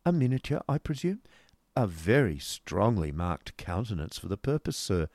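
A man's voice: on the low side, very animated, moderate pace, darkish tone, dry recording, studio quality. A male reader voicing a mincing, genteel woman of fifty who speaks primly and hesitantly. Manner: prim, dainty, hesitant with little coughs. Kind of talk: audiobook character voice.

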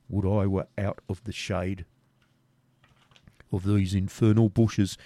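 Male narrator as a dogged, surly servant; dark low tone, dry sound. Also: no room sound, lightly acted. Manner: dogged, grumbling.

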